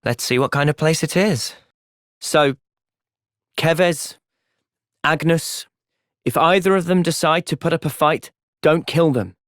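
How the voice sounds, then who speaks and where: An actor playing a thoughtful, gentle but resolute young male soldier in a video game, with a British accent and a sincere, measured delivery. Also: fairly high pitch, no echo, studio quality. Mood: calm, considering. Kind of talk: video game cutscene voice acting.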